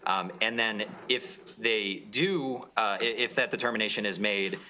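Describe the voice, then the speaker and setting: A young man, a legislator, explaining his bill to a committee in a halting way with many fillers. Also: lowish voice, pushed and bright. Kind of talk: testimony at a committee hearing.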